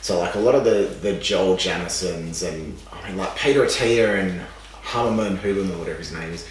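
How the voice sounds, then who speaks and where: Raspy, low-voiced man. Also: fairly quick. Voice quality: raspy, dry. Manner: rambling, animated, swears casually. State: losing his voice, raspy. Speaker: podcast guest, exercise scientist. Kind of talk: casual podcast chat. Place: room with a seated podcast setup. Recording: echoey room, distant mic, faint noise.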